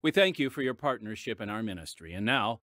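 A man announcing a scripted radio appeal in a folksy, deliberately enunciated way. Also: lowish voice, lively intonation, fairly bright tone, no room sound, clean studio sound.

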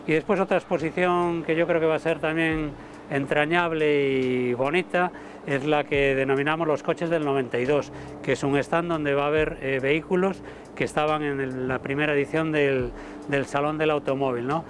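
Halting man on an outdoor terrace. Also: fairly high pitch, steady tone, a little hiss or hum. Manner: halting, many fillers and repeated words. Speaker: motor show director. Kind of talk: interview to camera. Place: outdoor terrace above a city port. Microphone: lapel mic.

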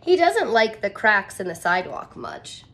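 A woman's voice: medium pitch, very animated, moderate pace, gravelly, fairly bright tone. A woman in her thirties, a teacher reading a picture book aloud and explaining it expressively in simple phrases for young children. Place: small furnished room indoors.

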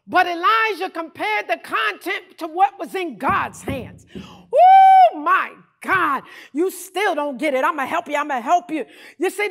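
A middle-aged woman pastor preaching a sermon on a large church stage into a handheld mic, animated and breaking into shouts. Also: very high voice, vocal fry.

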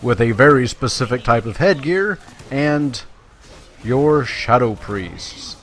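A man's voice: medium pitch, expressive, takes it slowly, fairly bright tone, slight background noise. A man giving casual, self-deprecating let's-play commentary, talking directly to his viewers. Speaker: gaming YouTuber, host. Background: video game sound.

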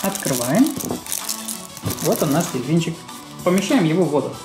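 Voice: medium pitch, sing-song, moderate pace, roomy sound, music in the background. An adult co-host presenting a children's toy unboxing video and narrating each step.

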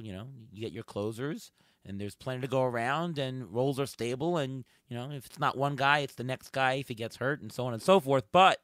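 Male podcast host, slightly hoarse, bright forceful voice, mid-range pitch, casual sports talk, dry sound. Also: no echo.

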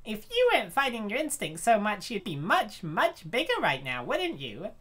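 A woman's voice: mid-range voice, wildly swooping pitch, moderate pace, a bit bright, breathy edge, full character voice. A woman voicing a brash young princess in a comic dub, with a teasing, knowing, goading manner.

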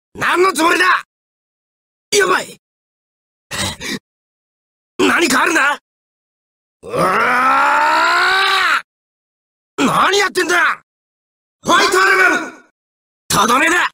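An actor playing a hot-tempered male ice-wielding villain in an anime video game, with a coarse, raspy, gravelly, snarling delivery. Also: very high-pitched, sharp tone, no room sound, heavily acted. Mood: battle fury.

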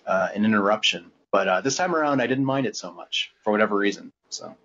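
A man chatting casually as a podcast co-host, in a halting, rambling way. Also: fairly low pitch, animated, fairly bright tone, a little hiss or hum. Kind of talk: casual chat. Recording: dull, lo-fi podcast audio.

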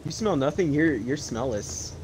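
A man's voice: a little high, expressive, moderate pace. Cheeky young-sounding man on a noisy line. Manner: cheeky, defiant banter. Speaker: friend playing a survivor. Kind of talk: casual gaming chat.

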